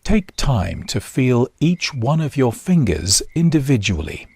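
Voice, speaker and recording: A man guiding a body scan meditation in short, measured instructions with long pauses. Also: medium pitch, big pitch swings, vocal fry, darkish tone, breathy edge, no room sound. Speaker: meditation guide. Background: nature sounds.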